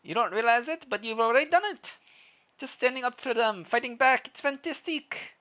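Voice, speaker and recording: A man's voice: extremely high pitch, normal speed, sharp tone, voice pushed up. A streamer voicing an earnest, rousing male sawmill worker with an impassioned manner and a vaguely foreign put-on accent, in a story game. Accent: uncertain foreign-sounding put-on accent. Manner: impassioned, rhetorical.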